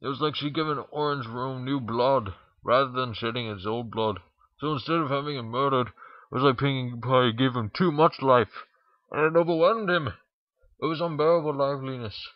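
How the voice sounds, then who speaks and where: A man's voice: medium pitch, moderate pace, no room sound, dull audio. A man reading a story aloud in an informal amateur narration, stumbling now and then. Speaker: fan fiction reader.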